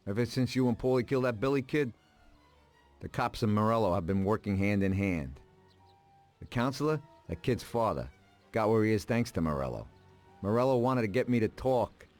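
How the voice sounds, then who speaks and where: An actor playing a tired, resigned older American mob accountant in a dramatic video game scene, halting and earnest. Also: male voice, on the low side, animated, a little mellow, natural voice. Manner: weary, halting, pleading. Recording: music behind the voice. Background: background music.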